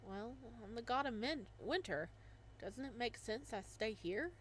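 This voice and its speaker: A woman's voice: fairly high pitch, lively intonation, unhurried, a bit bright, slight background noise. A woman voicing a goofy, bashful young winter god. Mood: sheepish. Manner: bashful, stammering, rambling.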